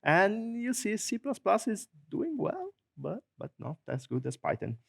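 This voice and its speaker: A man with an Italian accent giving a technical conference talk, joking often, chuckling at his own jokes and filling pauses with many uhs. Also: high-pitched, huge pitch swings, vocal fry, a bit bright. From Italy. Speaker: conference presenter. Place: conference hall.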